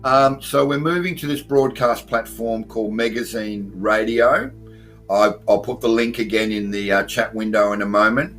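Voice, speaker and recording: Male call-in radio host, low voice, chatty and filler-heavy, faint music under him. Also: fairly even intonation, normal speed, fairly bright tone. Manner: loose and chatty, many fillers, greets listeners by name. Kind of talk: casual call-in radio hosting. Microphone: computer mic at a desktop.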